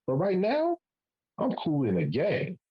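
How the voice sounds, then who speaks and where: A man's voice: sits high, wildly swooping pitch, unhurried, muffled recording. A man in his forties hosting a podcast panel, making his point emphatically and swearing casually. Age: 40s. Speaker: podcast host.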